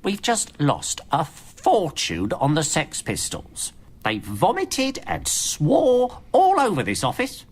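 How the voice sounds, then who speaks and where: An actress playing a bossy, sarcastic film company executive in a radio comedy, with a scornful, emphatic delivery. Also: female voice, low-pitched, huge pitch swings, slow, a little hiss or hum, heavily acted. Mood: scornful, dismissive.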